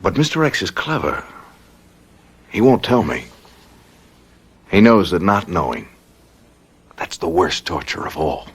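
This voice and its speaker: A man's voice: big pitch swings, unhurried, long pauses, exaggerated voice. An American actor playing a tense government man and husband in a radio drama. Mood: grave, resigned. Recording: noisy vintage radio drama, vehicle rumble.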